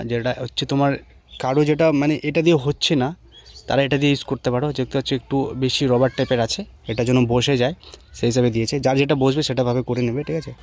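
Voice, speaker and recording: A man giving a casual, improvised hands-on tutorial to viewers, talking informally as he works. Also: medium pitch. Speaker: motorcycle vlogger presenting an unboxing and installation. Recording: clear background ambient noise. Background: background noise.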